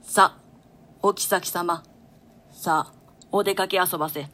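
Woman as a bright-toned, deferential court attendant. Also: on the low side, steady tone, slow pace. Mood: urging, loyal. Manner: formal, humble archaic courtly speech. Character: deferential, solemn court elder and messenger. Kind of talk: classical stage play reading.

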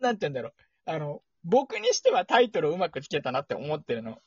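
A young man hosting a casual podcast, playfully deadpan, slipping between polite announcer phrasing and chatty rambling. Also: on the high side, quick pace, breathy edge, no echo. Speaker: podcast host. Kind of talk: casual two-person podcast chat with mock news segment. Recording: dull lo-fi audio.

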